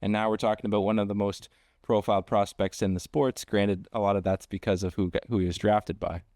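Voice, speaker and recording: A man hosting a casual sports interview, thinking aloud haltingly and repeating words as he builds his questions. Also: fairly low pitch, level tone, a little mellow, dry recording, studio quality. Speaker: podcast host interviewing a guest.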